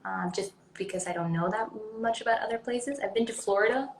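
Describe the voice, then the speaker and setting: A woman's voice: mid-range voice, fairly quick, roomy sound, a little hiss or hum. A young adult woman from Sweden with a Swedish accent, chatting casually as an interview guest, rambling with many ums and likes. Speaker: actress guest.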